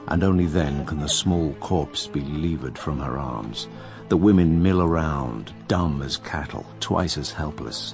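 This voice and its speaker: Deep, animated, sardonic plague diarist. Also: male voice, vocal fry, heavily acted. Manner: sardonic, self-aware, theatrical asides. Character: wry, imperious male narrator-diarist of plague-stricken London. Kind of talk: radio drama narration and acting.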